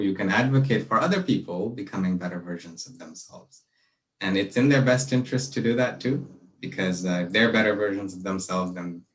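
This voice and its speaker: Earnest young man, deep, roomy and distant. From Malaysia and Brunei. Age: young adult. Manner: earnest, reflective, steady. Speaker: podcast guest. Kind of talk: casual interview.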